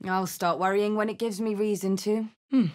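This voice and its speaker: A woman's voice: mid-range voice, steady tone, moderate pace, a little mellow, close to an everyday voice. A woman voicing a tough, brash tiefling warrior in a video game, with a blunt, wry, casually sweary manner. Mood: determined, wry. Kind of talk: video game character barks and remarks.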